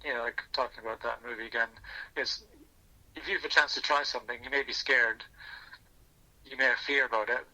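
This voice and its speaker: A man with a very sharp, bright voice talks reflectively as a podcast guest over the phone. He is earnest and thinks aloud, circling back to restart phrases as he shares personal reflections. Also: medium pitch, fairly even intonation, brisk, long pauses. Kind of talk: reflective personal storytelling in an interview. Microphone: phone call. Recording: thin, bright telephone line.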